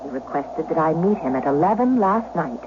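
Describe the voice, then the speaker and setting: A woman's voice: low voice, expressive, moderate pace. An actress playing an anxious, pleading noblewoman in an old-time radio drama, with formal, beseeching diction. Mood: anxious recounting. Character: pleading, anxious noblewoman defending herself to jealous husband. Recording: dull, noisy old radio recording.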